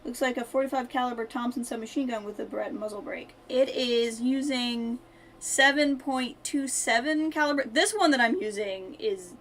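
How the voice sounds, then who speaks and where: A woman with a fairly high voice streams a game, chatting casually and thinking out loud. Faint game noise sits behind her. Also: moderate pace. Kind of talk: casual live gameplay commentary, chatting with viewers.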